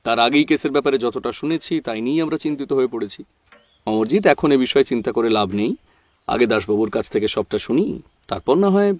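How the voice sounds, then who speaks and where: Casting: male actor as an affable, teasing sleuth, confident, mid pitch, very dry sound, audio detective story. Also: lively intonation, brisk, darkish tone, no echo, lightly acted. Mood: amused, reassuring. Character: genial, teasing, self-assured male private detective. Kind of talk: Bengali audio drama acting.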